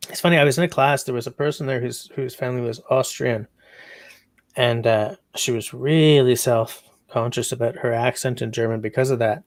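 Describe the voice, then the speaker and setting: A man's voice: medium pitch, fairly even intonation, moderate pace, dry recording. A man hosting a casual livestream, meandering as he reads out chat comments. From Canada. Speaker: livestream host.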